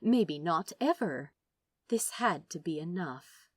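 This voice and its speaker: Casting: female audiobook narrator, lowish voice, expressive and theatrical, unhurried pacing for dramatic fiction. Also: slightly rough, dry recording, clean studio sound. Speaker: podfic narrator.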